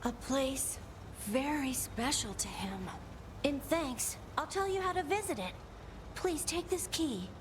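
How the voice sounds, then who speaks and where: A woman voicing a gentle, wistful male creature in a video game, with a soft, lilting voice. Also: sits high, lively intonation, measured pace. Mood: grateful. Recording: game audio with clear background noise.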